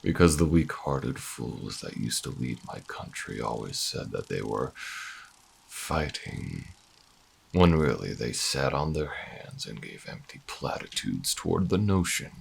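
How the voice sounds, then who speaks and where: A man voicing a grim, proud, weary old revolutionary in a sci-fi audio roleplay, speaking in grave, halting, terse phrases. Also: a bass voice, big pitch swings, gravelly, slightly rough, exaggerated voice. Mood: bitter. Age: older.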